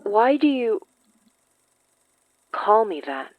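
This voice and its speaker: A woman's voice: on the high side, big pitch swings, drawn-out words, narrow, tinny audio, heavily acted. An actress playing an earnest, troubled young woman, speaking hesitantly and thoughtfully in a naturalistic audio drama. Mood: uncertain.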